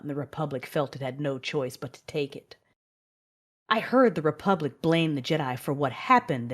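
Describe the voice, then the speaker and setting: Woman acting a very deep-voiced grieving warrior mother. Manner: emotive, measured storytelling with anguished dialogue. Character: grieving female Jedi, mother, narrating her own tragedy. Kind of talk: dramatic first-person audio drama narration.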